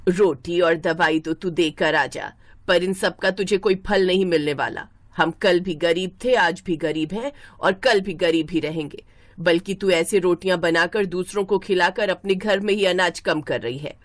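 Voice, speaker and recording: A woman's voice: lowish voice, a little slow, no room sound, natural voice. A woman voicing a scornful, grumbling older mother-in-law in a moral story, with a taunting, sarcastic manner. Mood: scolding. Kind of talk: acted moral-story dialogue.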